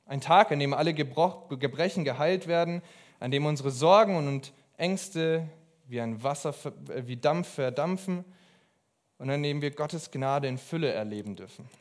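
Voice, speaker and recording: A young man preaching a sermon in an earnest, emphatic way, pausing between phrases. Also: medium pitch. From abroad.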